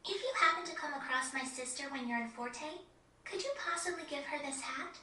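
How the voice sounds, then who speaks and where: A woman playing a composed, courteous young girl who guards a forest, speaking in measured, articulate video game dialogue. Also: high-pitched, pushed and bright. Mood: polite, calm. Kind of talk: video game cutscene acting. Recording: echoey, distant game audio.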